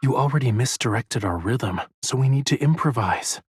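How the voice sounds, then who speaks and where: A man playing a gentle, romantic young suitor with a poetic, courtly manner in a scripted audio drama. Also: medium pitch, expressive, moderate pace, a little hiss or hum, natural voice. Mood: tender. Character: tender, playful, romantic young man wooing his beloved. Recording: music behind the voice.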